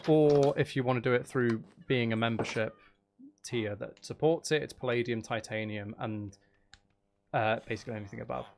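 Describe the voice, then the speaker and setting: A man's voice: medium pitch, moderate pace, gravelly, darkish tone, a little hiss or hum. A man hosting a live stream, chatting casually with viewers in a rambling, chatty way.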